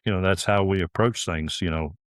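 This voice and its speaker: A man's voice: low voice, brisk, no echo, clean studio sound. A middle-aged man talking earnestly as a golf course director in a podcast interview, often restarting his sentences.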